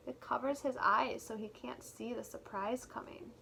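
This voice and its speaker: A woman reads a picture book aloud as a teacher would for young children. Her voice is somewhat bright with a touch of creak, and she goes slowly and expressively, adding plain explanations along the way. There is faint noise behind her. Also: on the high side, rough recording. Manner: expressive, deliberate reading with simple explanations for children. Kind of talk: read-aloud storytelling with explanatory asides.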